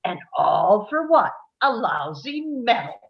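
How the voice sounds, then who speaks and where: A woman playing a frazzled, battle-weary space marine from a shooter game, grim and clipped, in an animated film table read. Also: medium pitch, slow pace, vocal fry, highs cut off, exaggerated voice.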